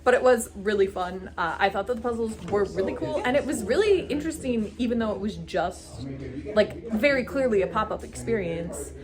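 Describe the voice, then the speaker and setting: A woman's voice: medium pitch, animated, a little fast, over music. A woman chatting enthusiastically and casually as the host of a group recap vlog.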